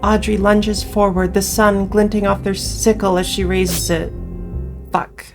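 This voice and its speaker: A woman's voice: medium pitch, level tone, measured pace. A woman reading a visual novel aloud as a player, adding her own casual commentary. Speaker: game player and narrator. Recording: music behind voice. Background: game music.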